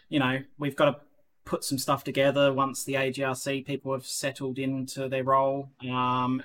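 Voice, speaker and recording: A man's voice: mid-range voice, pushed and bright. A man hosting a casual online community podcast, rambling with lots of fillers and restarts. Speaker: podcast host.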